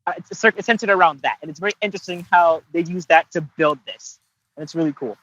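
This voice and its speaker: A man's voice: fairly high pitch, fast talker, fairly bright tone, dull audio. A man on a casual podcast chat, thinking aloud hesitantly and restarting his sentences often. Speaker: podcast co-host and voice actor.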